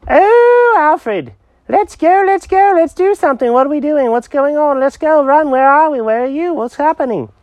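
A storyteller voicing an excitable, scatterbrained male pet cat who swoops through exclamations and questions. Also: high voice, normal speed, dry recording, pitched above the performer's own voice. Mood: overexcited.

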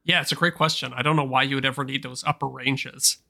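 A man co-hosting a podcast, chatting in an easygoing, agreeable way into a voice mic on a boom arm. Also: fairly even intonation, quick pace, creaky, pushed and bright, faint music behind. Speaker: podcast co-host. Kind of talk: casual podcast chat.

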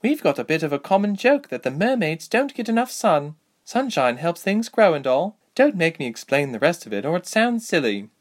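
A man's voice: a little high, very animated, moderate pace, few pauses, pitched above the performer's own voice. A man voicing a breezy, wry female elf soldier in a fantasy storybook.

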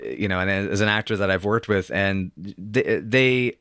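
A man's voice: low-pitched, measured pace, a bit bright, clear, resonant tone, dry recording, clean studio sound. A man talking as a podcast guest in casual chat, rambling with many fillers. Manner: rambling, many "like" and "you know".

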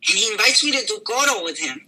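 Older lady singer spinning a tale, lively and dramatic, really bright tone. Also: mid-range voice, fast talker, rough recording. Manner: lively, dramatic storytelling with acted-out quotes. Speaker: singer and interview guest. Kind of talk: storytelling in a conversation.

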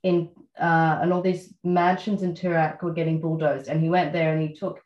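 A low-pitched Australian woman in her thirties recalls things in a reflective, meandering way, pausing often on fillers. Her voice sits in an echoey space and sounds slightly muffled. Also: little pitch movement, darkish tone. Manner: reflective, many fillers and pauses. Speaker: guest writer. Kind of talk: relaxed interview conversation.

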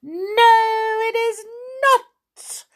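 A woman voicing a tiny, spiteful imp man in a children's fairy tale, shouting shrilly and gloating. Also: sing-song, measured pace, vocal fry, exaggerated voice. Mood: shrill refusal. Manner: shrill shouting, sing-song boasting.

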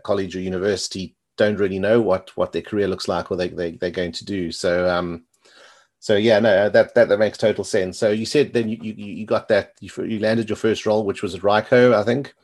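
Middle aged guy hosting a podcast, low voice, talks fast, says you know a lot. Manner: fast, rambling questions with repeated "you know". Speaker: podcast host. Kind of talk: interview questions.

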